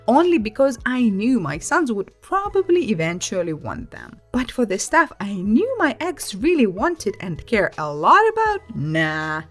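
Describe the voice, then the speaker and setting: A woman host reading online stories aloud in an expressive, conversational, emphatic narrating style. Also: mid-range voice. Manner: animated, emphatic, conversational storytelling. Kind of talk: expressive scripted story narration. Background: music.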